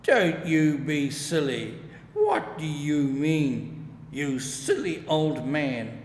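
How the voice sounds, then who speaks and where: A man voicing a scolding, suspicious old farmer's wife from a storybook, in a sharp-tongued, nagging manner. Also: on the high side, drawn-out words, full character voice. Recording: echoey room, long reverb, steady background noise.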